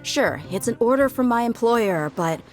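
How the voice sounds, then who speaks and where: A woman playing a blunt, loyal female mercenary bodyguard in an animated game, stern and no-nonsense. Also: on the high side, moderate pace, close to an everyday voice. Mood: firm. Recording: music playing behind the voice.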